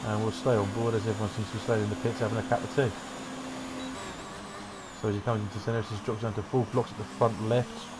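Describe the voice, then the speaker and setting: A man giving relaxed, rambling commentary on an online racing qualifying session. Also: lowish voice, quick pace, heavy background noise. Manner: relaxed, rambling, self-deprecating asides. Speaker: race commentator.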